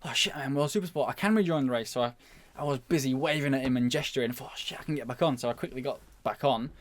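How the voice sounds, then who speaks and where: A man's voice: medium pitch, racing. A man speaking as a podcast guest and motorcycle racer, telling anecdotes in a casual, informal way.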